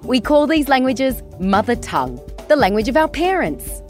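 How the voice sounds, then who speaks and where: Woman, kids' podcast host, bouncy and animated, a bit higher pitch. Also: normal speed, faint music behind. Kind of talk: cheerful sing-song presenting for kids.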